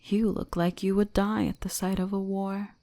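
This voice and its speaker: A woman voicing a commanding, centuries-old kitsune shrine guardian in an ASMR roleplay, speaking slowly and deliberately with a teasing gentleness. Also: mid-range voice, flat delivery, natural voice. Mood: coaxing, dominant. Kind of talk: scripted ASMR roleplay addressed to the listener.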